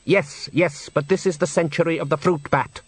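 A man's voice: on the high side, fairly even intonation, normal speed, husky, dry recording, pitched above the performer's own voice. A man reading aloud and voicing a male opera manager who speaks nastily and sarcastically. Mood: sarcastic. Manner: nasty, sarcastic.

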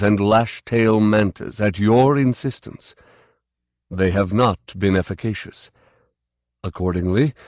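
A male narrator voicing a solemn, pedantic man who speaks slowly and formally. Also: on the low side, a little mellow, no room sound, studio quality. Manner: slow, deliberate, dryly formal. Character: solemn, pedantic large male trader-scientist.

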